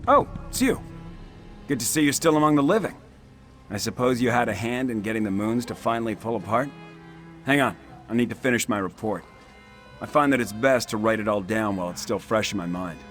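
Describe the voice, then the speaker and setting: An actor playing a gruff, dutiful military officer in a fantasy game, with a brisk, businesslike delivery. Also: male voice, fairly low pitch, expressive, fairly bright tone, soft background music. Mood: brisk, proud.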